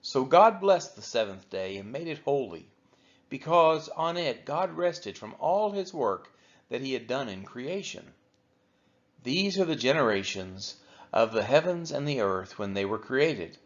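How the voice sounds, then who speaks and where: Man reading scripture, lively pitch. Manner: measured, falls at phrase ends. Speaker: church scripture reader. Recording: dull lo-fi audio.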